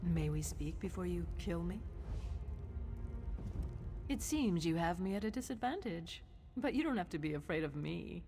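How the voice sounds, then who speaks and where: An actress playing a poised, sly woman of a warrior order in a video game, with measured, silky, teasing speech. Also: on the low side, animated, soft background music. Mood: calm, placating. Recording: long reverb, loud ambient noise.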